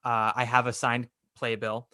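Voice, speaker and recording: Bright, candid young New man. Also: medium pitch, level tone, normal speed, no echo, studio quality. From New York. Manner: candid, chatty, opinionated. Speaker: podcast host. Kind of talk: casual podcast interview.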